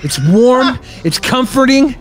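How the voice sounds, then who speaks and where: A man's voice: very high voice, expressive, slow pace, pitched above the performer's own voice, full character voice. A man playing a quippy secret agent hero in a parody radio drama, tossing off one-liners. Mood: smug quipping. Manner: delivering one-liner quips. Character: quippy male secret agent hero, bakery themed spy.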